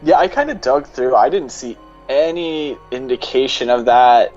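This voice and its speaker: A man's voice: medium pitch, moderate pace, darkish tone. A man talking casually as a guest on a podcast. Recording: dull lo-fi mic, faint music behind.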